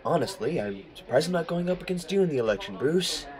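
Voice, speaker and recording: Man playing high school boy, lively pitch movement, affable, chatty, self-deprecating, audio drama. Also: fast talker, crowd noise, lightly acted. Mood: friendly banter. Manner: easygoing, chatty.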